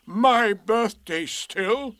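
A man voicing a gloomy old donkey in a children's storybook, with a drawn-out, mournful and sardonic delivery. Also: sits high, expressive, a bit bright, no room sound, pitched above the performer's own voice, full character voice. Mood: incredulous. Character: gloomy old male donkey, slow, ponderous and doleful.

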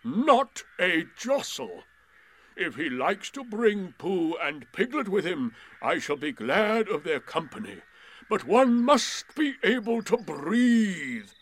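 A man voicing a gloomy, solemn old male donkey in a storybook reading, speaking slowly and weightily. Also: sits high, big pitch swings, a bit bright, pitched above the performer's own voice, exaggerated voice. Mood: stiff, proud. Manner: slow and weighty.

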